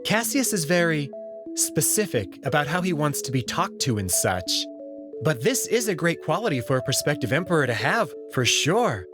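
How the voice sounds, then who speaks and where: Guy doing a polite young alien servant boy for a visual novel, kinda high voice, lilts a lot, gently candid. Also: very animated, moderate pace, music in the background. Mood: diplomatic. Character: polite, smiling young male alien pet servant, discreet and perceptive. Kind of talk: visual novel character read-through.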